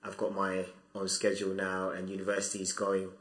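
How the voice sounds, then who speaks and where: A man's voice: low-pitched, monotone, fairly quick, fairly bright tone, roomy sound. A young man from Zimbabwe, a student, answering interview questions about his life in a thoughtful, hesitant way with many restarts. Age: 20s. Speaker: guest.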